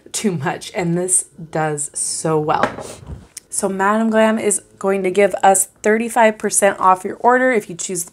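A woman's voice: fairly low pitch, slight background noise. A young adult woman hosting her own channel, chatting casually and upbeat while her voice is still strained from recovering. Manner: chatty and upbeat, bubbly enthusiasm. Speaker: tarot channel host. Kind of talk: casual vlog talk to camera.

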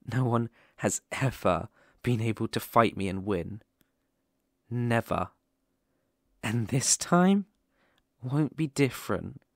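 A British man playing a protective, dominant lone werewolf in an ASMR roleplay, soothing and deliberate with frequent pauses. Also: mid-range voice, no room sound, clean studio sound. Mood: protective, resolute.

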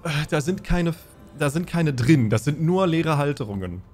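A man's voice: fairly high pitch, expressive, normal speed, darkish tone, soft background music. A man acting as game master, explaining rules and narrating a tabletop role-playing game in a conversational way.

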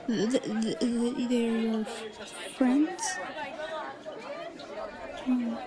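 A woman's voice: fairly high pitch, fairly even intonation, drawn-out words, crowd noise. A woman playing a shy, bullied young student with an obsessive streak, in a hesitant, stammering delivery for a roleplay audio drama. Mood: flustered, panicky.

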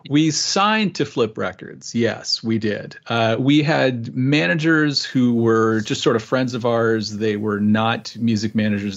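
Easygoing rambling man, muffled audio. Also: lowish voice. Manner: rambling, easygoing, lots of restarts. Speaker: guest author and musician. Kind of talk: casual interview storytelling.

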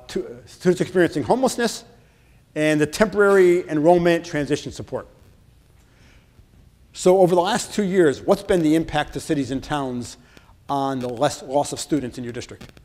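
A man's voice: a little high, darkish tone. A middle-aged man, a state senator, giving a casual budget presentation and quizzing his audience in a large function room. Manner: casual, asks the audience questions. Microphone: far camera. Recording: long reverb in a large room.

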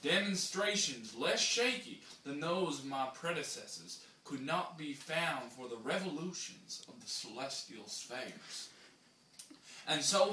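A young man reads an old scientific text aloud with halting, dramatic phrasing, picked up across a small room. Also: a little high, pushed and bright. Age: young adult. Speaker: reader taking turns in an informal group reading. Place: small bare room indoors. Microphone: camera mic from a few metres. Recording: roomy, distant camera mic with hiss.

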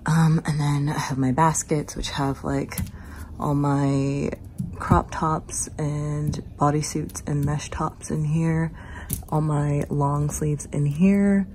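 A young woman in her twenties casually vlogging, chatty and relaxed, laughing often, filmed on a handheld phone. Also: low voice, slow pace. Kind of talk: casual vlog chat. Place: home closet, then car and house. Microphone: handheld phone camera. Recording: noisy handheld phone audio.

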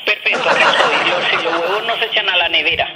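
A woman's voice: fairly low pitch, fast talker. An older woman with a Colombian accent chatting on the phone, opinionated and repeating herself as she talks. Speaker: prank call recipient. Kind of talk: casual phone chat. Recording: noisy telephone line recording.

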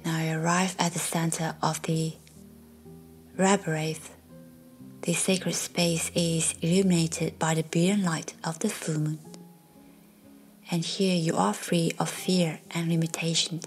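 A woman guiding a meditation in a soft, soothing, breathy voice that often nears a whisper, leaving long pauses. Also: low-pitched, flat delivery. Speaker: meditation guide. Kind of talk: guided meditation narration. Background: faint music.

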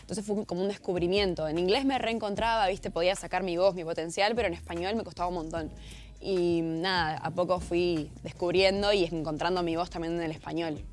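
A young woman in her twenties with an Argentine accent, a singer answering interview questions in a relaxed, chatty way. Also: fairly low pitch, fairly quick. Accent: Argentine Spanish. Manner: relaxed, chatty, says "viste" a lot. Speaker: pop singer guest. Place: TV studio set.

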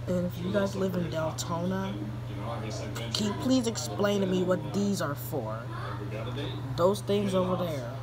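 A woman's voice: fairly low pitch, a little slow, loud music behind the voice. An American woman chatting casually to viewers in an informal, hesitant vlog style, recorded on a handheld phone. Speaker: vlogger. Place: home, between porch and indoors. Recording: loud background noise on handheld phone.

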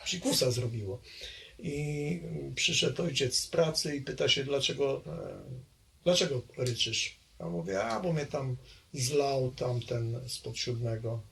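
An older man from Poland recalling his childhood in an oral history interview, hesitant and halting, with many fillers. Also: medium pitch, vocal fry, fairly bright tone.

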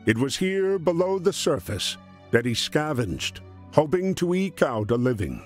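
A man narrating a wartime history game as a solemn storyteller, in measured scripted delivery. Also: fairly high pitch, sing-song. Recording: music behind the voice. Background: music.

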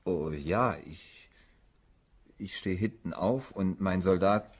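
An actor playing an awkward, polite gentleman suitor in a period radio drama, speaking haltingly. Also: low voice, breathy edge, heavily acted. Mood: awkward, courteous.

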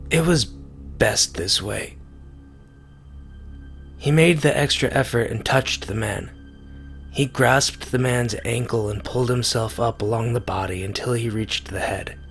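A man giving a grave, deliberate dramatic reading of a science fiction short story, pausing for effect. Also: medium pitch. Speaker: amateur story reader. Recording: music behind the voice. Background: music.

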